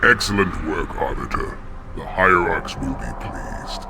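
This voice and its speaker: Brute alien chieftain, really deep gravelly voice, sneering n boastful, video game villain. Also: male voice, a little slow, background noise, full character voice. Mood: gloating, menacing. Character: brutish, gloating alien warrior chieftain, cruel and treacherous. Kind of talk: video game cutscene acting.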